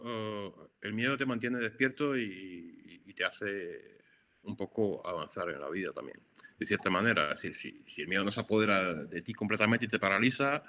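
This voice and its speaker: A middle-aged man from Cuba speaks with a Cuban Spanish accent in a fairly low voice. He answers interview questions in an unhurried, reflective way. He often stumbles into restarts and repeats his words as he thinks. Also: bright, forceful tone. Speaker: photographer, interview guest. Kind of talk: conversational interview.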